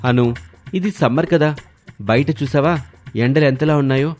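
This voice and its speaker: A man plays a young husband in a moral story. His medium-pitched voice coaxes and gently chides, with some pride in family money. Soft music sits behind it. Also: normal speed, lightly acted. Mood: chiding, insistent. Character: young husband, reassuring yet proud of wealth. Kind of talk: dramatized moral story dialogue.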